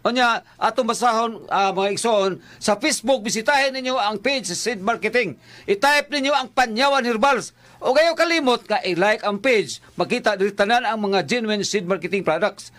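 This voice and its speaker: A male radio co-host with a Cebuano Bisaya accent and an unusually high voice for a man. He is chatty and casual, repeating phrases for emphasis as he plugs products and greets listeners. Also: moderate pace, fairly bright tone.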